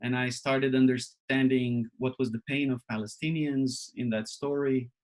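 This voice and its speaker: Man from Israel, mid-pitched, reflective presenter, halting and thoughtful delivery. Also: steady tone, darkish tone. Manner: thoughtful, searching, with frequent pauses and restarts. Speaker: workshop presenter. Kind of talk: reflective personal talk.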